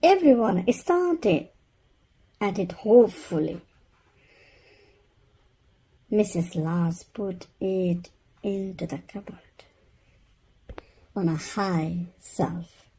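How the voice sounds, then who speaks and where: Slow, husky woman reading a storybook. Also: on the low side, lively intonation, mellow, low-quality recording. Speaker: storybook reader. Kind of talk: children's read-aloud narration.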